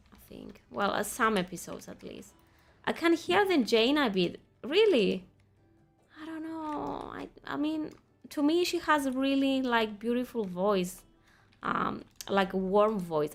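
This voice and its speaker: A woman's voice: a little high, animated, measured pace, gravelly, faint music behind. A young woman streaming a game, chatting casually with her viewers, laughing easily and reading quest text aloud. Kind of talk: casual gaming commentary.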